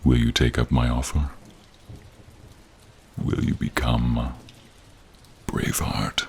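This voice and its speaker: Very deep, gravelly dragon. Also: male voice, level tone, a little hiss or hum, heavily acted. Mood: earnest, tender. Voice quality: very deep, gravelly, husky, breathy. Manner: slow, solemn, formal, dramatic pauses. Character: old wise protective male dragon, grave and caring. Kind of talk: fantasy audio roleplay, addressing the listener. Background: rain and cave ambience.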